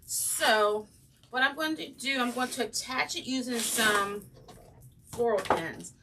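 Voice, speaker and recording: A woman with a slightly raspy voice hosting a casual crafting livestream, chatting with viewers and saying um often. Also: a little high, takes it slowly, distant mic, noisy background. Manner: chatty, greets viewers by name, frequent ums. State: slightly raspy from breathing trouble. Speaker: craft channel host.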